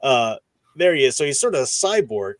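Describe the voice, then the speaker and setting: A man hosts a casual livestream discussion in a medium-pitched voice. The sound is dry and close. He explains in a conversational way, working through his points and often adding "you know". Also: very animated, brisk, no room sound. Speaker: host of a book discussion stream. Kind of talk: casual livestream discussion of comics and radio dramas.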